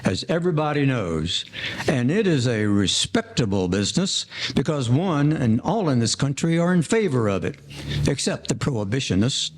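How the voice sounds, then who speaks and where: An actor playing a broke, sentimental retired bootlegger in an old-time gangster audio play, with formal, slangy speech. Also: male voice, medium pitch, very animated, moderate pace. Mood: resigned, rueful. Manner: formal slang-laden storytelling.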